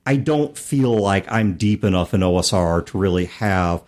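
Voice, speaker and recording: A man co-hosting a podcast in a casual, explanatory way, animated and expressive as he talks and reads aloud. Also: sits low, normal speed. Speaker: podcast co-host. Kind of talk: casual chat about tabletop gaming, reading passages aloud.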